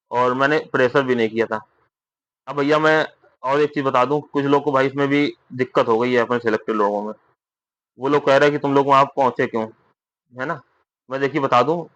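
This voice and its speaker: A man's voice: mid-range voice, fairly bright tone, no room sound. Young man, dry lo-fi sound. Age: young adult. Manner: repetitive, reassuring, with frequent tag questions. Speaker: content creator giving recruitment updates to fellow candidates. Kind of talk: informal explanatory update to viewers.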